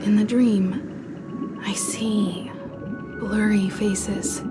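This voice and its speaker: A woman playing a calm, enigmatic wanderer in a video game, speaking softly and hesitantly, with a contemplative, sympathetic manner. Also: medium pitch, drawn-out words, over music. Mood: pensive, gentle. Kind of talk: scripted video game dialogue.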